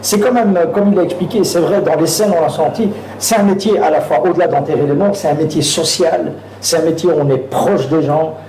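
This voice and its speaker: High, mellow-voiced Belgian man in an echoey hall. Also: fairly quick, few pauses, a little hiss or hum. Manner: hesitant, many fillers and restarts, laughs while telling anecdotes. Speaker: actor guest. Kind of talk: live talk on stage, storytelling.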